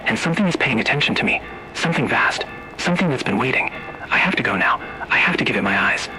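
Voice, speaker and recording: A man's voice: mid-range voice, expressive, fast talker, sharp tone, faint music behind, low-quality recording. A man voicing an entranced male teacher in an analog horror story, speaking in rhythmic, insistent, awestruck short sentences. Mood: awestruck dread.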